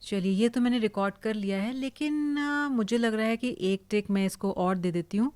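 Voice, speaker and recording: A woman's voice: fairly high pitch, moderate pace, a little mellow, dry recording. An Indian-accented woman who works as a voice-over artist, explaining in a casual tutorial style.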